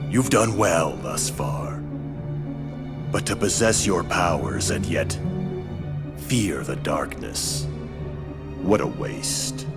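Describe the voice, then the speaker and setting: An actor playing a menacing, imposing warrior of darkness in a video game, speaking in a grave, commanding, formal way. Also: male voice, very deep voice, expressive, takes it slowly, creaky, long pauses, exaggerated voice. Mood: stern, commanding. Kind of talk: dramatic video game voice acting. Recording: loud ominous music behind.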